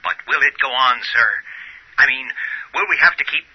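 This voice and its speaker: An actor playing an earnest male radio news announcer delivering a crisis bulletin, with a husky voice. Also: mid-range voice, normal speed, natural voice. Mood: earnest, grave. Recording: dull lo-fi radio sound.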